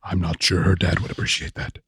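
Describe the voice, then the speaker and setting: A man playing a muddled filmmaker in a comic radio sketch, pitching ideas haltingly in a gravelly, husky voice. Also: completely flat delivery, a bit bright, no echo, clean studio sound, full character voice. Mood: earnest pitching. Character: male filmmaker obsessed with plot twists, muddled, brainstorming aloud. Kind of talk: comic audio sketch acting.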